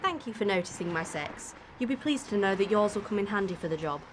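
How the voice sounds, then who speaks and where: A woman acting in a radio drama as a cool, sharp-tongued, defiant professional thief with a dry, sarcastic manner. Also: mid-range voice, expressive, fast talker, background noise, lightly acted.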